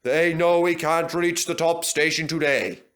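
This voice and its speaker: Man playing reassuring railway controller, raised pitch. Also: unhurried, fairly bright tone. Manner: reassuring, instructing. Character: kindly male railway controller, encouraging and practical.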